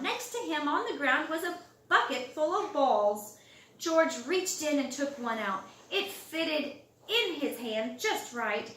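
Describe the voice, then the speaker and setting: A woman reads a picture book to young children in a high voice that swings widely in pitch. She stretches key words for effect. The recording is roomy, with the microphone some distance away. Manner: expressive, drawn-out words and loud acted exclamations for young listeners. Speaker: storybook reader. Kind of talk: animated children's storybook read-aloud.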